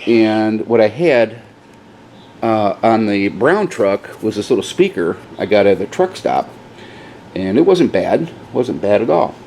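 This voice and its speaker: Low-voiced man rambling casually. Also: dark tone. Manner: rambling, hesitant, informal. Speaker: DIY vlogger. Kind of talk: casual show-and-tell, thinking out loud. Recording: clearly noisy, radio chatter at times.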